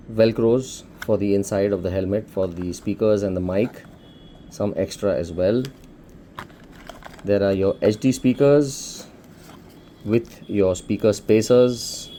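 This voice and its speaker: A man's voice: low voice, mellow, slight background noise. A man with an Indian accent casually presenting an unboxing for a shop, explaining things informally step by step. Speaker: shop presenter demonstrating a product.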